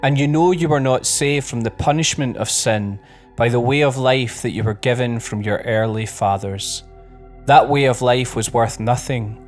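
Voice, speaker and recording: Mid-pitched man reading scripture over music. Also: moderate pace. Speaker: Bible reader.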